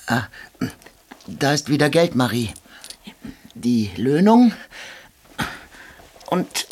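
An actor playing a poor, harried soldier in a German radio drama, humble and brooding, halting as he gropes for words. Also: mid-range voice, breathy edge.

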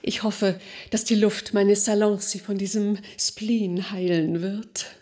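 An actress playing an effusive older princess and society hostess in a radio drama, with a gushing, coaxing, grandly gracious manner. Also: female voice, medium pitch, little pitch movement, slow pace, mellow. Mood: flirtatiously chiding. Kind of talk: German radio drama acting.

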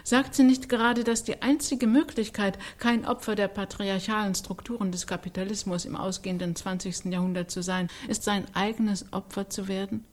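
A woman's voice: mid-range voice, steady tone, moderate pace, hardly any pauses, lightly acted. A woman playing a righteous intellectual art critic in a radio play, articulate, insistent and rhetorical. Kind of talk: radio play panel discussion.

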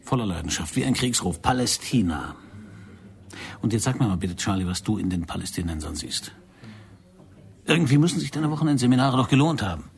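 An actor playing an intense, controlling secret agent in a radio drama, with a husky voice and an insistent, probing, theatrical manner. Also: male voice, lowish voice, expressive, a little fast.

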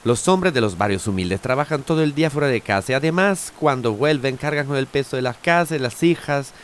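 A man's voice: mid-range voice, lively intonation, fairly quick, slight background noise, close to an everyday voice. An actor playing an earnest, argumentative young male activist in a scripted audio drama. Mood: indignant. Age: young adult. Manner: argumentative, rhetorical.

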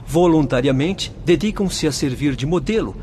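A man's voice: on the high side, lively intonation, normal speed, a little mellow, slight background noise, lightly acted. A Brazilian man playing an earnest spirit guide in a radio drama, with formal, declamatory delivery. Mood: admiring. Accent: Brazilian Portuguese.

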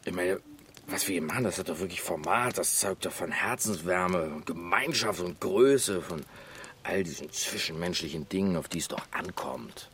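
An actor playing an easygoing, placating middle-aged husband in a naturalistic radio drama, expansive and a little pompous. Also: male voice, low voice, normal speed, fairly bright tone, slight background noise. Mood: soothing, grandiose. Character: easygoing, soothing husband, grandly sentimental and wry.

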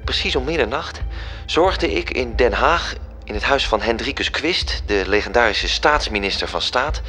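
Angel functionary for radio play: medium pitch, bureaucratic, courteous, exact, light music bed. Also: male voice, animated, normal speed, bright, forceful tone. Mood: measured, narrating. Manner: deferential, precise, formal. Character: dutiful male angel, bureaucratic agent reporting upward. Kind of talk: radio drama acting. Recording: music behind the voice.

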